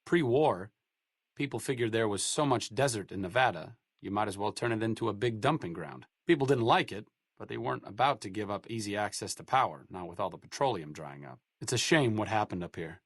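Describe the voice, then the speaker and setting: A man's voice: fairly low pitch, lively intonation, brisk, breathy edge, no room sound. An actor playing a dry, sardonic male scientist companion in a video game. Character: wry, educated male scientist companion in a wasteland. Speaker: companion character.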